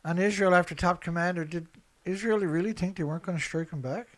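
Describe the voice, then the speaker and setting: A man's voice: sits high, quick pace. A man giving unscripted, opinionated news commentary as an online host, reading out headlines and reacting in a blunt, emphatic, colloquial way with a lot of repetition.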